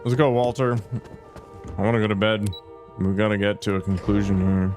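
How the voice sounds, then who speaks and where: A man's voice: fairly low pitch, steady tone, a little fast, darkish tone, faint music behind. A man casually commenting with wry asides while playing a video game. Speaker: gameplay streamer. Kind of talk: let's play commentary.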